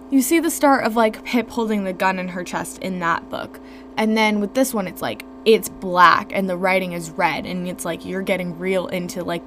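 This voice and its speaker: A young woman hosting a podcast, talking casually about a book with lots of "like" fillers, her voice a little gravelly and worn from screaming. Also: on the low side. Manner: chatty, lots of "like" fillers, expressive. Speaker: podcast host reviewing a book. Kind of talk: casual solo podcast talk. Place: home room. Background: faint fridge hum.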